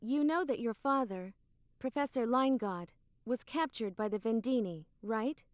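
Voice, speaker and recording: A woman's voice: a little high, measured pace, highs cut off, close to an everyday voice. An actress playing a composed, secretive young woman in a video game cutscene, with a cool, matter-of-fact delivery. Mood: calm, serious. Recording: roomy, faint game music behind.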